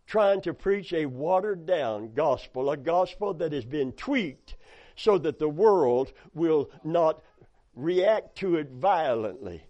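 A hoarse preacher, his voice pitched a little high for a man. He tells folksy stories from the pulpit and breaks in with amen and hallelujah. The recording sounds dull and lo-fi. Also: a little mellow. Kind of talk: sermon.

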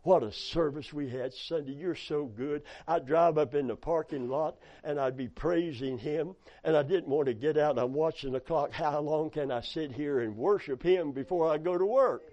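A hoarse man preaching a sermon, telling folksy stories and calling out amen and hallelujah. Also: a little high, normal speed, hardly any pauses, mellow. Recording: dull lo-fi sound.

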